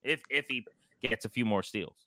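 A man's voice: mid-range voice, normal speed, sharp tone, dry recording. An American man hosting a sports podcast, talking through baseball in a conversational way.

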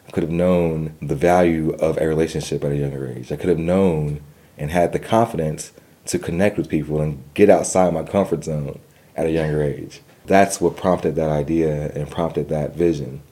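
A man's voice: extremely low pitch, fairly even intonation, normal speed, mellow. A young man telling his personal story in an interview, earnest, with a stutter and repeated words. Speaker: guest.

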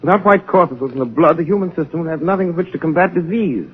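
An actor playing a composed, authoritative crime-fighting sleuth in a 1930s radio drama, speaking in a measured, formal, deliberate way. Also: male voice, fairly high pitch, husky. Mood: intent. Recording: muffled, narrow-band 1930s radio recording.

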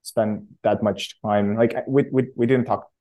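A man in a fairly low voice. He talks casually and haltingly, circling back and restarting his sentences as he thinks aloud. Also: steady tone, dark tone. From Romania. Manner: halting, frequent self-restarts and fillers. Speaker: startup founder guest. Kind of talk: casual interview answers.

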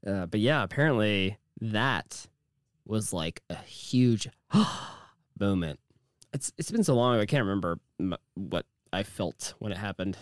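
A man with a naturally deep voice, co-hosting a casual podcast chat, jokey and crude with lots of halting fillers. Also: lively intonation, no echo, studio quality. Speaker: podcast co-host.

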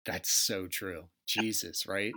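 A man's voice: low-pitched, steady tone, slow, sharp tone, a little hiss or hum. A man hosting a podcast over a video call, laid-back and casual, swearing and laughing easily. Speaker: podcast host. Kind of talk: casual interview chat. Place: home in Delaware.

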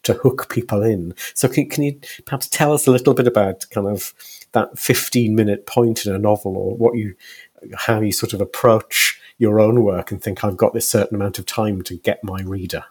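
A man's voice: lowish voice, steady tone, moderate pace, a little mellow, slightly rough. A man hosting a podcast interview, asking long, discursive questions in a conversational, hedging way. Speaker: podcast host.

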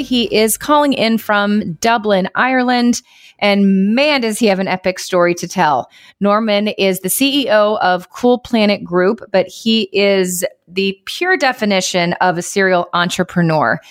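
A woman hosting a business podcast, upbeat and lively, with casual, informal phrasing. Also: medium pitch, no room sound, studio quality. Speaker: podcast host. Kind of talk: podcast intro and interview.

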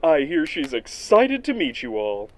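Slow, sing-song male corporate announcer. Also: a little high, huge pitch swings, rough recording. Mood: chirpy, promotional. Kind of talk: audio drama announcement.

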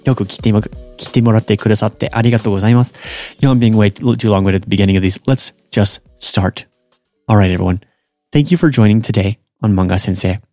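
A man's voice: on the low side, racing, dark tone, no echo, studio quality, faint music behind. A man hosting a podcast lesson, explaining step by step and repeating each example in a casual, self-joking way. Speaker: Japanese language teacher and podcast host.

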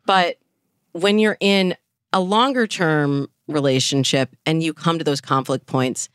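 A woman's voice: low-pitched, unhurried, clean tone, no room sound, studio quality. A woman speaking as a dating coach and interview guest, explaining things conversationally and with emphasis. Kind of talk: conversational expert advice.

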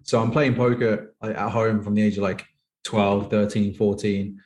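A man's voice: fairly low pitch, little pitch movement, normal speed, a little mellow. A man in his thirties from the UK, a poker player being interviewed who chats casually and rambles with lots of "like". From United Kingdom. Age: 30s. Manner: rambling, heavy use of "like". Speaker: professional poker player guest. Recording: echoey room.

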